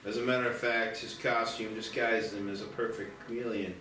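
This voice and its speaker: A man reading screenplay stage directions in a deliberate, dramatic narration. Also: lowish voice, flat delivery, pushed and bright, a little hiss or hum. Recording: very echoey, distant mic, music behind.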